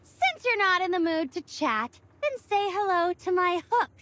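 A woman's voice: very high voice, lively intonation, a little slow, fairly bright tone, exaggerated voice. An actress playing a deranged, vengeful young woman in a video game, with a theatrical, mocking, sing-song menace. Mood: bloodthirsty. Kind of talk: video game character acting.

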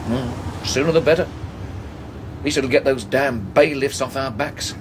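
An actor playing a boorish, grasping English husband in a radio drama, sarcastic, slangy and impatient. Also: male voice, mid-range voice, steady tone, normal speed, lightly acted. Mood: irritable, blustering. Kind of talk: naturalistic radio drama acting. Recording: noisy, vehicle sound behind.

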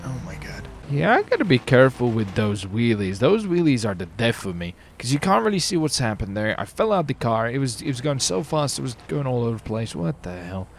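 A man with a creaky, gravelly voice chats casually through a video game roleplay. He swears and exclaims freely, over faint noise of car engines. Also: mid-range voice, very animated, a little fast, hardly any pauses. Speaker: gaming streamer.

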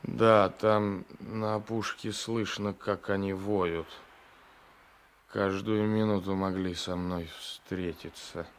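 An actor playing a weary, courteous gendarme seeking shelter in a 1980s Soviet radio drama, measured and halting, on a noisy old recording. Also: male voice, low-pitched, flat delivery.

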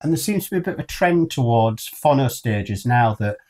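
A man's voice: medium pitch, animated, brisk, darkish tone. A British man in his fifties chatting casually as co-host of a news show, recorded in a small room indoors. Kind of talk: casual chat.

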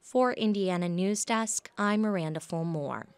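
A woman news reporter reading a scripted report in a measured tone. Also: lowish voice, a little mellow, clear, resonant tone.

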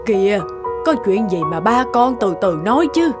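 A woman's voice: mid-range voice, big pitch swings, normal speed, natural voice. Lady doing a sweet old cartoon granny, soothing and protective, music playing behind. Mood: worried. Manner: soothing, gentle. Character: kind, protective elderly grandmother. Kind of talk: Vietnamese cartoon dubbing.

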